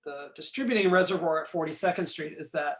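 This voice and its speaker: A man giving a recorded lecture while pointing out features on a map. He is losing his voice, keeps clearing his throat and apologizes for it. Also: fairly high pitch, fast talker, fairly bright tone, slight background noise.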